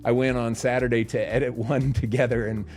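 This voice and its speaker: Guy hosting a podcast, laid back and joking around, mid pitch, faint music under it. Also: flat delivery, a little fast, a little mellow. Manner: joking, laughs often, upbeat. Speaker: podcast co-host. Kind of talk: casual podcast chat. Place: recording studio.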